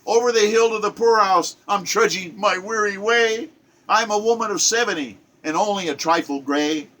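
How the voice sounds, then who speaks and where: A man's voice: sits high, normal speed, sharp tone, pitched above the performer's own voice. An old man reading as a proud, weary old woman of seventy. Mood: plaintive. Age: 70s. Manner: declaiming rhymed verse in first person.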